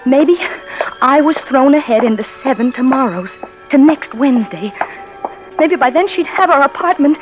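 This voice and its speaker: A woman's voice: sits high, lively intonation, normal speed, highs cut off. A woman in 1940s radio drama style, playing a frightened young wife who narrates her own descent into murder in breathless, stammering words. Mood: tense. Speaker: narrator and lead character. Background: music.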